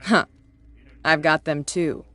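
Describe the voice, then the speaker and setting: A man voicing a tough, shrewd male gang boss who talks in a calm, threatening, businesslike way. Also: on the high side, slow pace. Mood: menacing. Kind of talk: video game voice acting.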